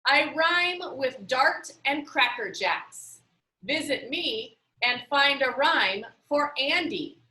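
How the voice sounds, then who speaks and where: Storybook girl puzzle-solver voiced by a woman, keen, playful, emphatic, high, animated pitch. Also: slow, reverberant, exaggerated voice, rough recording. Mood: excited.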